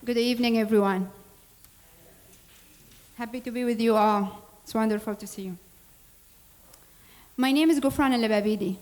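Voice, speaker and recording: Fairly high-voiced woman. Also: moderate pace, long pauses, a little mellow. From Syria. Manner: earnest, with small grammar slips and restarts. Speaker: board president. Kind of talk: speech introducing a program. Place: church hall.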